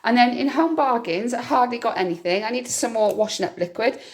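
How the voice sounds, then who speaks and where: A woman, a little hoarse and croaky from a cough, chatting through her grocery haul in a homely, rambling way. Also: a little high, animated, brisk. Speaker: vlogger. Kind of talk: casual grocery haul vlog.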